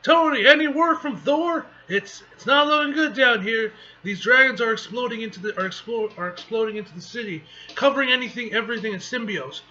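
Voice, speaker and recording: A man voicing embattled superheroes from a comic book, urgently calling for backup in a forceful, stumbling delivery. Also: very high-pitched, a little fast, hardly any pauses, pitched above the performer's own voice, exaggerated voice. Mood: urgent alarm. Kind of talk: amateur comic book read-aloud acting. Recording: dull lo-fi mic with faint noise.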